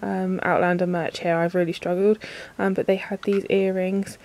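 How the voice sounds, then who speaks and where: A woman's voice: on the low side, flat delivery, normal speed, darkish tone. A woman, chatting casually in a book vlog, full of ums and likes, sniffly and congested from a cold. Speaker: book vlogger. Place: work bathroom.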